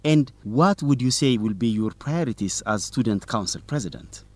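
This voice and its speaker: An actor playing a polite but persistent young student reporter doing an interview in an educational radio drama. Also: male voice, medium pitch, animated, moderate pace, darkish tone, lightly acted. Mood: polite, formal. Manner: formal, courteous, probing.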